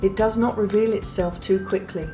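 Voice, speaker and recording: A woman reading a children's picture book aloud in a gentle, unhurried way, pausing softly between phrases. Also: mid-range voice, steady tone. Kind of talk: read-aloud storybook narration. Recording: read over clear background music.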